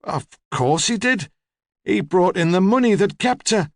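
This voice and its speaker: A man voicing a haughty, demanding old woman with a hoarse, rasping voice, in an audiobook story. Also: high voice, expressive, measured pace, dry recording, studio quality, pitched above the performer's own voice. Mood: curt, disapproving. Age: older. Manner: demanding, curt, imperious. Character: sharp, haughty, opinionated old woman, large and deaf.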